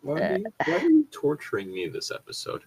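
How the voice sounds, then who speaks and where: A man's voice: on the high side, wildly swooping pitch, fairly quick, darkish tone, slight background noise. A man co-hosting casual comedic banter in an exasperated, sweary way as he comments on fan fiction. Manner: exasperated, profane. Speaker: co-host reading fan fiction aloud.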